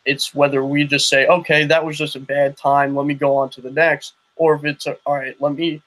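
A man's voice: on the high side, level tone, brisk, fairly bright tone, low-quality recording. A young man hosting a podcast interview, talking loosely with many restarts and "you know what I mean". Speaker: podcast host. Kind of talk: casual interview chat.

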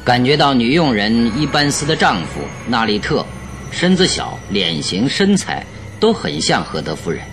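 Animated, masterful detective in radio drama. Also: male voice, mid-range voice, normal speed. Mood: confident, expository. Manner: masterful, courteous, expository. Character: confident male detective expounding his deductions. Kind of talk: Chinese radio drama acting. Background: background music.

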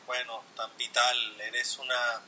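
A man's voice: medium pitch, level tone, takes it slowly, a little hiss or hum, poor audio. A man from Venezuela joining an online chat, speaking in an affectionate way. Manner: affectionate, warm greetings. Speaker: guest.

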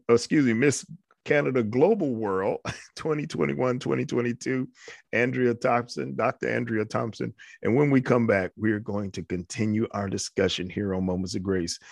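Male talk show host with a gravelly, slightly low voice and a halting, repetitive delivery. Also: a little mellow, dry recording, clean studio sound. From New York. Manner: halting, repeats words while talking. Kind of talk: faith-based interview talk.

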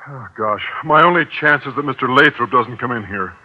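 Actor as a nervous, earnest American biology teacher. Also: male voice, mid-range voice, fairly quick, natural voice. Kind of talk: 1950s radio sitcom acting. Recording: dull, hissy old radio broadcast.

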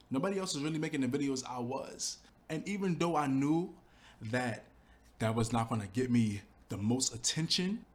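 A young adult man, a YouTuber, talking casually and earnestly about building his channel, with lots of "you know" and quoted thoughts. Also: a little high. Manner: earnest, animated storytelling with fillers like "you know". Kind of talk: casual reflective monologue.

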